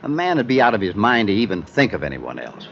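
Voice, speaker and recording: A man's voice: lowish voice, wildly swooping pitch, quick pace. An actor playing a worried middle-aged male businessman in a 1950s radio drama, earnest and hesitant. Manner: earnest, hesitant, stammers when unsure. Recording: dull old radio transcription with hiss.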